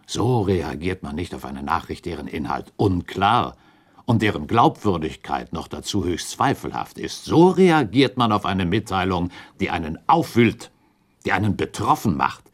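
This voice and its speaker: A man's voice: low-pitched, sing-song, moderate pace, husky, exaggerated voice. An actor playing a shrewd, relentless accuser in a radio play, speaking formally and precisely as he argues his case. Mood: grave, accusing. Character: shrewd male accuser laying out a murder theory, methodical, relentless. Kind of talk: German radio play acting, period dialogue.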